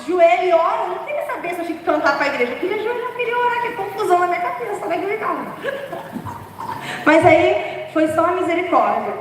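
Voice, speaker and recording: Hoarse woman preaching in an echoey church. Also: very high-pitched, a little hiss or hum. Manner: chatty and humorous, laughing at herself. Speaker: preacher from the dance ministry. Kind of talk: informal sermon. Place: large church hall. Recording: echoey church hall from far off.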